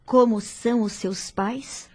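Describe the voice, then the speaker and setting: A woman playing a frail, serene older patient near death in an audio drama, with a faint, labored, breathy voice and a Brazilian accent. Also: fairly high pitch, fairly even intonation, slow pace, dark tone. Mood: tender.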